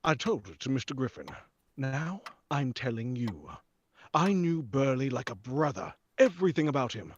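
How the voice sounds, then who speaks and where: A man's voice: medium pitch, very animated, moderate pace, close to an everyday voice. A man playing a stubborn, sceptical and suspicious house buyer in 1940s radio drama style, on a lo-fi recording. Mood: pointed. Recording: dull lo-fi sound with faint noise.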